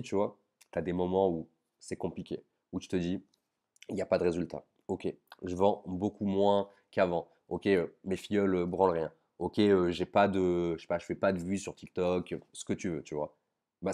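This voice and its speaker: A young man speaks frankly to his viewer, using loose everyday slang and calling them tu. His voice sits low and mellow. The tone is a personal, unscripted vlog. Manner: informal, slangy, addresses viewer as tu. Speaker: online business vlogger. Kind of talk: casual direct-to-camera confession.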